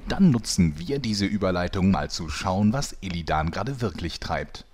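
A man's voice: low-pitched, expressive, normal speed, darkish tone. A man delivering scripted narration as the narrator of a parody audio drama.

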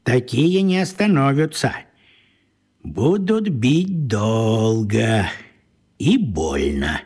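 An actor playing a kindly old wizard who poses as a postman, talking in a breathy, musing voice with swooping pitch. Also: big pitch swings, drawn-out words, a little mellow, full character voice. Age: older. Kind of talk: radio play acting.

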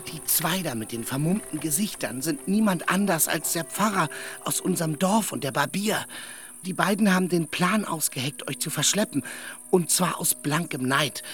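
An earthy, loyal squire in a radio play. His voice is fairly high and forceful, with very lively pitch. He is blunt, excitable and pleading. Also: normal speed. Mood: confiding, urgent.

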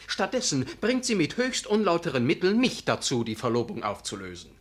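An actor playing a proud, aggrieved young curate in a radio drama, formal, self-righteous and declamatory. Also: male voice, on the high side, wildly swooping pitch, a little fast, slightly rough, full character voice. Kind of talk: German radio play acting.

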